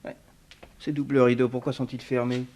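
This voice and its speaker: An actor playing an observant detective who narrates his case with measured, wry musing in a 1960s French radio serial. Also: medium pitch, flat delivery, darkish tone, natural voice. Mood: musing, suspicious.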